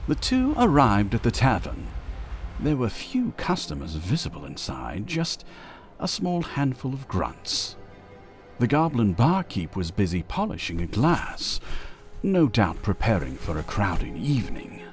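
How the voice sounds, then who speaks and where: Male storyteller narrating fantasy, slow pace, lots of pitch movement, faint music under it. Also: huge pitch swings. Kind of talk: scripted storybook narration of a fantasy audio drama.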